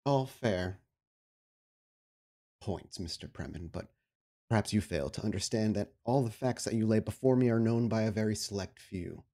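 Man playing a deep, measured, formal vampire prince. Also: dark tone, natural voice. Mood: stern, diplomatic. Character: stern, formal, calculating male vampire prince of a city. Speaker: storyteller playing a character.

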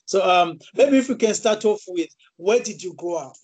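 A man in his thirties hosts an interview over a video call from a small room, his voice a little high for a man. He stumbles and repeats words, and the sound is dry and close. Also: expressive, no room sound. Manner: halting, many fillers and repeated words. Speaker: interview host. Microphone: video call webcam.

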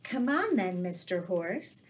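A woman voicing eager, polite little animal friends in a children's storybook, in an excitable manner. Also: mid-range voice, takes it slowly, slightly rough, heavily acted. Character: eager little animal friends, cat, dog, pig, duck.

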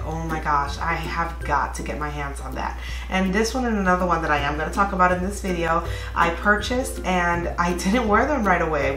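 Bubbly vlogger woman in her 30s, chatty, echoey home room, faint music behind. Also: on the low side. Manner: bubbly, gushing, many fillers. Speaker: nail polish YouTuber. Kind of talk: casual vlog chat. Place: bedroom at home.

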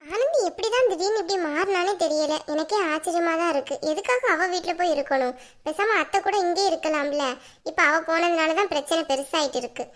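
A woman's voice: extremely high pitch, brisk. A woman playing an exasperated young mother in a family drama, talking in a blunt, colloquial, scolding way. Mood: aggrieved, complaining. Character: exasperated young mother, scolding and threatening her little daughter.

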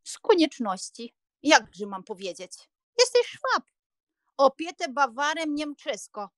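A woman's voice: sits high, very animated, slow, fairly bright tone, no room sound, heavily acted. Actress as imperious elderly grandmother, grumbling, proud, audiobook drama, very dry close sound. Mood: indignant scolding. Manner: scolding, imperious. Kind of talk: acted audiobook dialogue.

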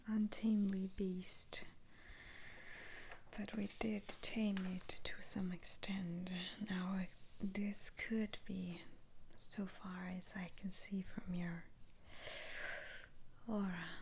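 Slow whispering woman, ASMR witch doctor. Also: mid-range voice, darkish tone, slight background noise. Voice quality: breathy, unvoiced whisper. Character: soothing, unhurried female witch doctor lifting curses. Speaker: ASMR performer.

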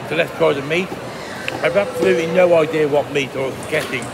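A man in his fifties eating and reviewing food court dishes into a phone at arm's length, chatty and relaxed, pausing to murmur while he tastes. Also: on the high side, gravelly, background noise. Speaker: food vlogger. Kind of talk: casual food review vlog. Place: indoor shopping mall food court under a glass roof. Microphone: phone held at arm's length, facing up. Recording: loud mall ambience behind the voice.

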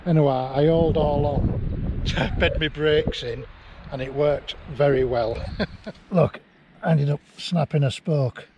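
A man's voice: fairly high pitch, fairly even intonation, slow pace, dull audio. A middle-aged man chatting casually as he vlogs a ride along the cliff tops, recorded on an action camera outdoors. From England. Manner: chatty, rambling, chuckles often. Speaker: vlogger. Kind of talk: casual vlog narration while riding. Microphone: action camera on handlebars and held near face. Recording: wind and outdoor noise.

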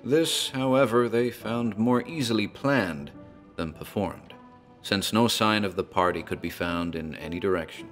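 A man's voice: lowish voice, unhurried, soft background music. A man narrating a horror tale in a theatrical, storytelling manner, as a tavern landlord.

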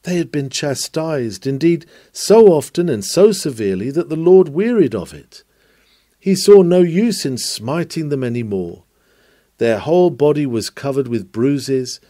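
A man delivers an old-fashioned sermon, reading it aloud with earnest, formal oratory. His voice is soft-edged and mellow, and the sound is close and dry, with measured phrases that press the listener. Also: fairly high pitch, expressive, dry recording. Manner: earnest, exhortatory, rhetorical questioning. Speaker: reader of a historic sermon.

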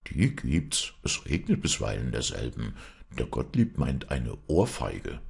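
A henpecked, miserly squire from a storybook, played by a man. He speaks in a very low, husky rumble, sheepish and placating, timid before his wife. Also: sing-song, measured pace, heavily acted. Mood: embarrassed. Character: stingy, sheepish, meek country landowner, henpecked husband. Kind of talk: dramatized storybook reading.

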